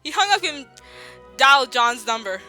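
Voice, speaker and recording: A woman reading fan fiction aloud in a giggly, animated way. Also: high-pitched, normal speed. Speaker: reader. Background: faint classical music.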